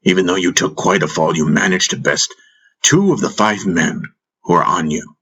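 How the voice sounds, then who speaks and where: A man voicing a wise, calm old master in an audiobook, speaking in a measured, gently teasing way. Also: low voice, breathy edge. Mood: calm, wry. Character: wise, calm, wry old male master caring for a patient.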